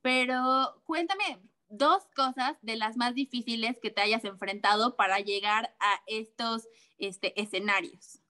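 A woman hosting an interview asks her guest questions in an agreeable, encouraging way. Her voice sits a little high and sounds very bright. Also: measured pace. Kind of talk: casual interview.

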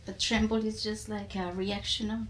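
A woman's voice: mid-range voice, flat delivery, moderate pace. A woman from Colombia with a Colombian accent and Spanish as her first language, speaking reflectively in an interview and searching for words. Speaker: podcast guest.

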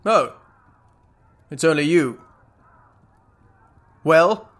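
Man playing a pompous, bright-voiced English army general. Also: on the high side, expressive, very slow, heavily acted. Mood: exasperated, disbelieving. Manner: pompous, imperious, exclamatory. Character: pompous, blustering, easily outraged British army general. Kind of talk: comic radio play acting.

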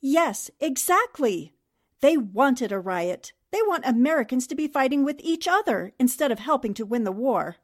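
Woman playing earnest girl reporter in a forties radio play, high voice, clever and principled. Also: big pitch swings, moderate pace. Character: earnest, clever, principled young newspaperwoman columnist. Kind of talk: 1940s radio drama acting.

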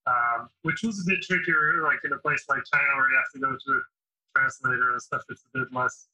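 A man's voice: mid-range voice, fairly even intonation, brisk, pushed and bright. A man chatting casually in an interview, telling long anecdotes with many fillers. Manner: chatty, storytelling, lots of fillers. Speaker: drummer, interview host. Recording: dull lo-fi sound.

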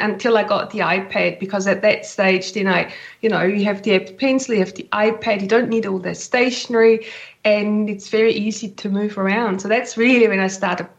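A woman's voice: mid-range voice, lo-fi sound. A woman from Switzerland with a Swiss accent, chatting casually as an interview guest.

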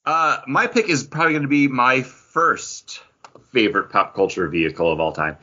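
A man's voice: medium pitch, expressive, moderate pace, sharp tone, lo-fi sound. A man co-hosting a podcast, chatting casually with a geeky fan's enthusiasm. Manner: enthusiastic geeky fan talk. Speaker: podcast co-host. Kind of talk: casual pop-culture chat.

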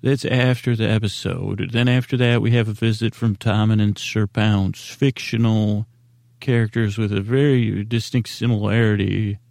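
A man hosting a sleep podcast, rambling in a meandering, halting way with a goofy, self-deprecating streak. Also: on the low side, flat delivery, creaky, darkish tone, dry recording, studio quality. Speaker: podcast host.

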